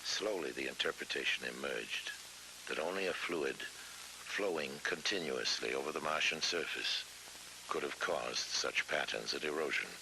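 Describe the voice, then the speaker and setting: A middle-aged man narrating a scripted science documentary in a measured, dramatic way, with weighty pauses. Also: very deep voice, gravelly. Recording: hissy old film soundtrack.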